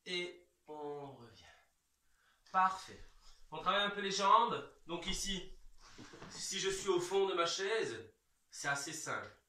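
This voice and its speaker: A man in his thirties giving calm, reassuring step-by-step instructions as an exercise coach, recorded in a large bare room from several metres away. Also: sits high, slow, long pauses. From Belgium. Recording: echoey room, distant microphone.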